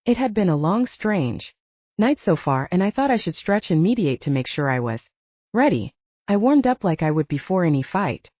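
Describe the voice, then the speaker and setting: A woman's voice: on the low side, very animated, normal speed, darkish tone, no room sound, muffled recording. A woman reading first-person fiction as a scripted audiobook narrator.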